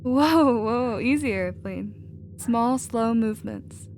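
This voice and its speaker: A woman playing a protective, nurturing female alien with a casual, expressive manner in a sci-fi ASMR roleplay. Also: a little high, slow pace, a little hiss or hum. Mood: tender, bubbly.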